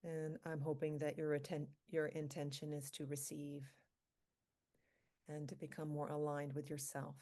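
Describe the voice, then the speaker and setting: A woman leading a guided meditation for a group, speaking calmly and slowly in short, spaced phrases. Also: low voice. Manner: calm, slow, pausing between short phrases. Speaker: meditation leader, physician.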